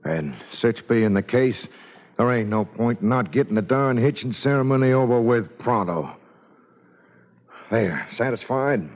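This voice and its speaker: An actor playing a bashful, plain-spoken cowboy with folksy Western talk in 1940s radio drama. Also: male voice, mid-range voice, expressive, measured pace, natural voice. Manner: folksy Western drawling idiom. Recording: dull vintage radio recording.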